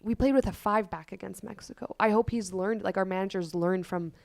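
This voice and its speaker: A woman's voice: mid-range voice, a little fast, gravelly. A woman whose first language is Polish, co-hosting a sports podcast and giving blunt, outspoken opinions in casual chat. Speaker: co-host.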